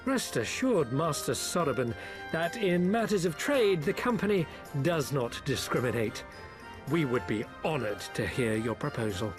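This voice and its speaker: Suave fantasy merchant, lilting lively delivery, polished formal speech, faint music underneath. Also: male voice, a little high, normal speed. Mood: gracious. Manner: formal, suave, ornate phrasing. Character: polite, genteel male trading company merchant. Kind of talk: video game cutscene acting.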